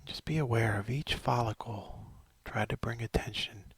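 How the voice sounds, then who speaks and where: A middle-aged man leading a guided body scan meditation, slow and soothing, often sinking into a whisper. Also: mid-range voice, breathy edge, no room sound.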